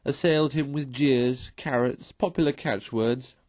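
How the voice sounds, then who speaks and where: A man reading a classic story aloud as expressive, scripted narration. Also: medium pitch, a little slow, no echo.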